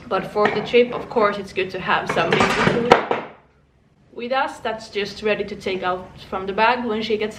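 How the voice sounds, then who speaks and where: A woman's voice: mid-range voice, distant mic, a little hiss or hum. A young woman from Finland, chatting casually to her vlog viewers at home, rambling with small restarts and laughs. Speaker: vlogger. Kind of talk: casual chat. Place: home room. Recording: echoey room, voice from off-mic.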